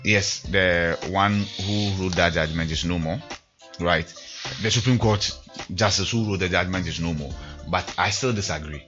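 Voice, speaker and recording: A man's voice: low voice, normal speed. A man, hosting a studio discussion and arguing his points emphatically. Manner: emphatic, argumentative. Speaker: host. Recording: dull lo-fi sound with faint music.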